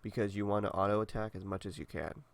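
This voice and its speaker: A young man talks in a relaxed, rambling way, filling gaps with casual fillers. His voice is congested and nasal. It sits fairly low and has a gravelly, fried edge. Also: quick pace. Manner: laid-back, rambling, many fillers. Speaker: gaming video creator. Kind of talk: casual walkthrough commentary.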